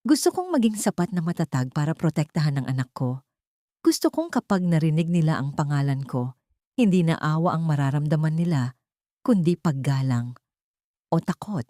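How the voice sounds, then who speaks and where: A woman's voice: sits low, expressive, moderate pace, mellow, dry recording, studio quality. A woman with a Filipino accent voicing a cold, determined wronged woman bent on revenge in an audio drama story. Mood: cold, resolute. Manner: cold, resolute declarations.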